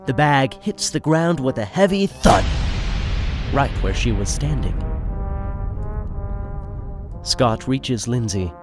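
Male narrator, radio play, lively theatrical delivery, music under the voice. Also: medium pitch, slow pace, long pauses.

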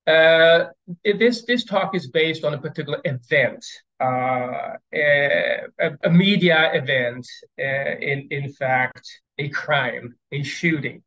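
A man in his fifties from Sweden giving an academic keynote over a video call, pausing often with fillers and repeated words. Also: fairly high pitch, a bit bright. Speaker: plenary speaker. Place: indoors at home, stairs behind. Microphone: video call webcam. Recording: dull lo-fi video call audio.

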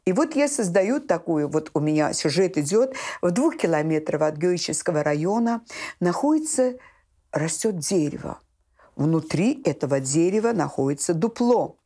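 A woman's voice: fairly low pitch, warm tone. A woman in her sixties from Azerbaijan, a children's writer talking expressively and emphatically as an interview guest, often repeating phrases for effect. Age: 60s. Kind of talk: animated conversational storytelling in a TV interview. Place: small indoor room set with shelves, books and textiles.

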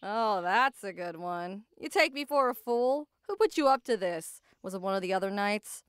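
A woman's voice: mid-range voice, lively intonation, normal speed, a bit bright, clear, resonant tone, dry recording, studio quality, lightly acted. A woman playing a tough, proud female knight, guarded about love, speaking earnestly and formally in an anime ASMR roleplay. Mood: suspicious, scoffing.

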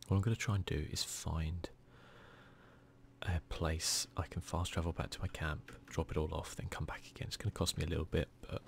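A man giving soft, near-whispered ASMR commentary as he hosts a gaming video, in a casual, muttering, self-deprecating way. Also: low voice, fairly even intonation, brisk, vocal fry.

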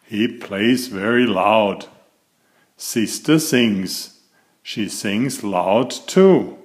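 A man reading a picture book aloud to young children in an expressive, deliberate storytelling manner. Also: lowish voice. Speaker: picture-book reader and author of children's stories.

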